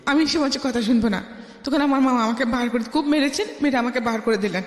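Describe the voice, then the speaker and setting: Middle-aged Bangladeshi female guest, high voice, emphatic personal storytelling, recorded with long reverb. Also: quick pace. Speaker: guest telling her life story. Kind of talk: personal storytelling in a radio interview. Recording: echoey room with long reverb.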